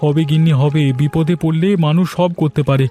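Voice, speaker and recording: A man's voice: a little high, fairly even intonation, fairly quick, dark tone, faint music behind, close to an everyday voice. A man voicing a reassuring, confident and resourceful village husband in a cartoon story.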